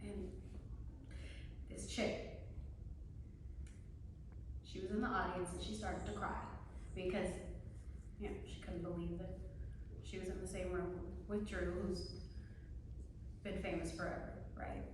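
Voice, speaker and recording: A woman's voice: on the low side, level tone, unhurried, pauses often, lightly acted. A woman playing a brash, defiant young student who needles her teacher in a sarcastic, slangy stage-play manner. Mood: earnest storytelling. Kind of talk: one-act stage play acting. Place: live stage performance, recorded from afar. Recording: echoey room, distant mic, faint noise.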